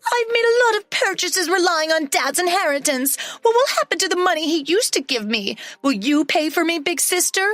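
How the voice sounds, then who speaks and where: A woman's voice: extremely high pitch, expressive, normal speed, hardly any pauses, fairly bright tone, no room sound, pitched above the performer's own voice. A woman reading a story aloud, voicing a spoiled young stepsister who shrieks and whines. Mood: shrill panic. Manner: shrieking, whiny.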